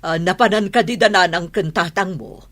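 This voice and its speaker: A woman's voice: fairly low pitch, normal speed, a bit bright. An actress with an Ilocano accent playing an exasperated, scolding mother worried about money in a radio drama. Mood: nagging.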